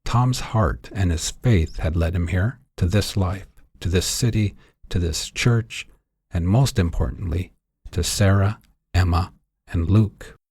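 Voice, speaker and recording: A man narrates a piece of fiction in a scripted audiobook style. His voice sits low, with a husky, slightly rough grain. The sound is dry, with hardly any room in it. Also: slow pace, darkish tone, no echo. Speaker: narrator, author reading own story.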